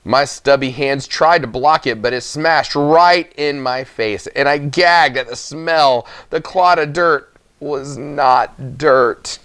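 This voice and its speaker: A man giving an expressive, dramatic children's audiobook narration, with emphatic pauses. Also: mid-range voice, no room sound. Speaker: story reader.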